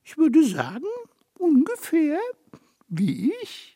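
A woman's voice: high voice, sing-song, takes it slowly, warm tone, dry recording, clean studio sound, exaggerated voice. A woman playing a small, boastful, cheeky male ghost in a children's audio drama, pompous and playful. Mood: smug. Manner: pompous, self-satisfied, playful.

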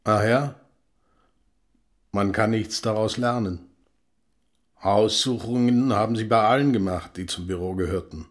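An actor playing a gruff, guarded older East German father in a radio drama, speaking in terse, halting, plain phrases. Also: fairly low pitch, close to an everyday voice.

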